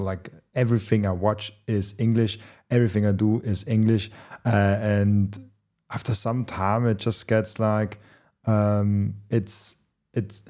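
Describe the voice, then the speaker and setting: A man's voice: fairly low pitch, mellow. A young man, a native German speaker, talking in English on a video call, restarting often as he searches for words. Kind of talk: casual interview chat. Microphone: desk mic on video call.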